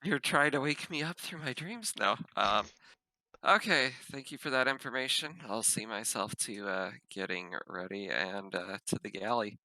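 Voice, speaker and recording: A man roleplays casually at a game session. His low voice is very bright and sharp in a dry-sounding recording, with a hesitant, questioning delivery. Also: moderate pace, dry recording, rough recording. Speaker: game player. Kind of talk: casual in-character tabletop roleplay and narration.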